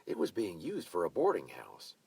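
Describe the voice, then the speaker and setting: A man voicing a bossy, effusive mature woman who hosts a wedding party and gives orders briskly. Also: low voice, wildly swooping pitch, gravelly, a little mellow, slightly rough, no room sound, full character voice. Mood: indignant. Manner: brisk, commanding, gushing.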